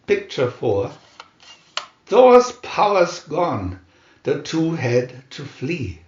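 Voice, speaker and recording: A man reading a scripted adventure story aloud in a dramatic, deliberate narrator's manner. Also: on the high side, huge pitch swings, reverberant, muffled recording. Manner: dramatic, deliberate comic-adventure reading with announced picture numbers. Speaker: narrator and reader.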